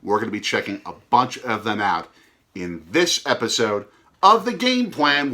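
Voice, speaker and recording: A middle-aged man co-hosting a food travel vlog in an upbeat, semi-scripted way, leaning on key words with drawn-out emphasis. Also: mid-range voice, pushed and bright. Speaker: travel food show host, father co-hosting with his child. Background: background music.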